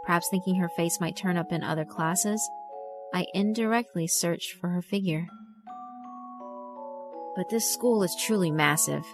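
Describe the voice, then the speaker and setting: A woman reading scripted first-person story narration for a visual novel. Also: on the low side. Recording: music behind the voice. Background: mallet and glockenspiel music.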